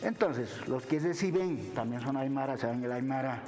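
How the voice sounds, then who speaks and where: A Bolivian man speaks as a university lecturer in an interview, in a mid-pitched voice. He explains didactically and often tags his phrases with short questions. The sound has a long hall-like reverb with faint music underneath. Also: expressive, moderate pace, a little mellow. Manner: didactic, punctuates with tag questions. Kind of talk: explanatory television interview talk.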